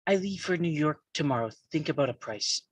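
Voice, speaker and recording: A man playing a calm, controlled young mafia boss in a script read, halting and stuttering heavily on consonants. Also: on the high side, husky, dry recording. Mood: cold, firm. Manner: halting, deliberate. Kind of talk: live table read of a crime film script.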